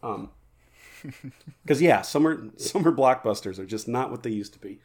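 Male podcast co-host, rambling and jokey, average pitch, easygoing storyteller. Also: animated, normal speed, a little mellow. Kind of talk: casual podcast banter.

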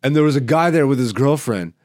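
A man's voice: mid-range voice, lively intonation, quick pace, darkish tone. A man who works as a stand-up comedian and podcast host, telling stories in casual, slangy, profane and emphatic talk. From born in Greece, Ethiopian by blood. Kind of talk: casual, animated podcast chat.

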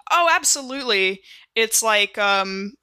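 A woman's voice: mid-range voice, expressive, a little slow, pushed and bright, smooth, no echo, clean studio sound. A woman casually improvising as a player in a tabletop roleplaying game, in loose conversational talk.

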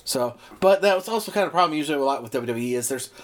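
A man's voice: a little high, animated, very fast. A man co-hosting a podcast chat, rambling from one point to the next, dropping fillers and the odd swear. His voice is starting to wear out. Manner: rambling, many fillers and restarts, swears casually. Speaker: podcast co-host. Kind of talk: casual chat.

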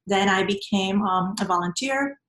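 A woman with a Venezuelan Spanish accent tells her story as an interview guest. Her voice sits at a middling pitch and she speaks in an earnest, reflective way. The sound is roomy, with noticeable echo. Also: moderate pace, a bit bright. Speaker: interview guest, nonprofit professional. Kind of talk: conversational interview, personal storytelling.

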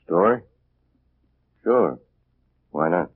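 An actor playing a gruff, laconic, husky tavern barkeep in a 1940s radio drama. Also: male voice, low voice, animated, drawn-out words, phone-quality sound, heavily acted. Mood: indifferent. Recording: thin, band-limited old radio recording.

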